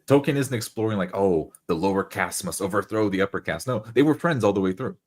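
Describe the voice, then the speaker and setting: Fast-talking husky man. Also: on the low side, expressive, a little mellow. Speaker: tabletop roleplay player. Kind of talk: casual group discussion.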